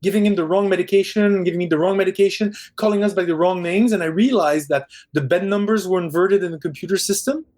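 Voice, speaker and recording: A middle-aged man with a Parisian French accent, a film director chatting in an interview, animated and quick, often restarting his sentences. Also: high voice. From Quebec, Canada. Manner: animated storyteller, quick bursts, frequent restarts. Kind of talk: casual interview chat.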